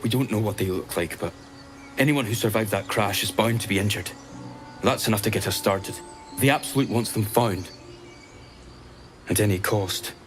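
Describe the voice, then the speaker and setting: An actor playing an anxious, fervent young cult follower in a fantasy video game, agitated and halting. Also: male voice, on the low side, close to an everyday voice. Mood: guarded. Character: anxious, fervent male cult recruit, loyal brother. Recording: music behind the voice.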